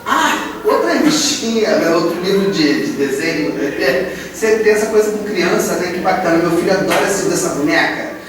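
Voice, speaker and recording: A man in casual, rambling comic dialogue, flattering and excitable in manner. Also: high voice, normal speed, a crowd in the background. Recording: echoey room playback, distant, clearly noisy.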